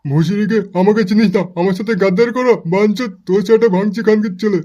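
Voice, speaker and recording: A man's voice: high-pitched, fast, dark tone, natural voice. A man voicing a coarse, swearing, greedy and threatening crook in an amateur comedy cartoon. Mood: angry, vengeful.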